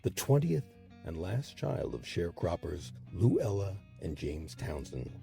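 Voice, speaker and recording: A man narrating scripted history as a documentary host, with measured, emphatic storytelling. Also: low voice, sing-song, creaky, mellow, faint music behind.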